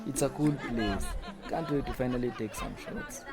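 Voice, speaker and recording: A man's voice: medium pitch, moderate pace, loud music behind the voice, over a noisy crowd, close to an everyday voice. A man acting a friendly, flirtatious and attentive co-worker in a radio drama. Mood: teasing, playful.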